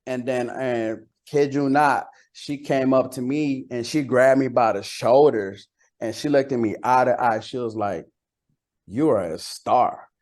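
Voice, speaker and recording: A man from Costa Rica who grew up speaking Spanish talks in a relaxed interview as a rapper. His pitch sits in the middle for a man. He uses slang freely and repeats words to drive a point home. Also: unhurried. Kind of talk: casual interview chat.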